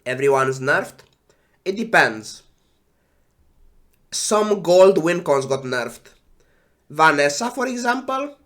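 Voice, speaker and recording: A man who is sick and congested, sighing and talking slowly while casually reading game patch notes on a stream. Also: fairly high pitch, very animated, fairly bright tone. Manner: slow, sighing, trailing off. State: congested with flu.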